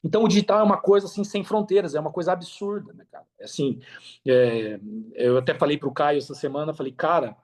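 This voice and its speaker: A man's voice: a little high, quick pace, a little mellow. A Brazilian man speaking casually and earnestly as a podcast guest, repeating phrases for emphasis. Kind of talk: casual interview talk.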